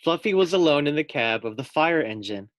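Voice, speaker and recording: A man's voice: fairly high pitch, expressive, fairly quick, no room sound. A man reading a children's storybook aloud as a teacher, expressively, putting questions directly to young listeners.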